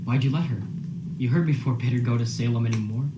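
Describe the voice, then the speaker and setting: A man playing a weary, evasive Puritan farmer husband in a radio drama, speaking haltingly and repeating his words. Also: medium pitch, noisy background, close to an everyday voice. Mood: disbelieving. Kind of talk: period radio drama acting.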